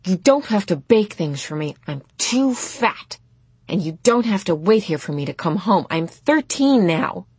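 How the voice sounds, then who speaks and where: A woman's voice: on the low side, moderate pace, few pauses, darkish tone, slightly rough, dry recording. A woman voicing a sulky, defiant thirteen-year-old girl in a storybook, curt and indignant. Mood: defiant, shouting.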